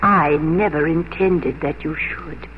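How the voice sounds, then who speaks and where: A woman's voice: low-pitched, slow pace. An actress playing a shrewd, worldly older society lady and matchmaker, knowing and theatrical, in 1950s radio drama style. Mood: knowing, sly. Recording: muffled, hissy old radio broadcast.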